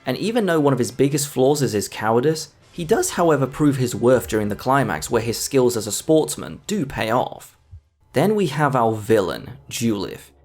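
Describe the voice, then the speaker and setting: A man's voice: medium pitch, sing-song, normal speed, a little mellow. A man narrating a scripted video essay as a film reviewer. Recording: music under the voice. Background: background music.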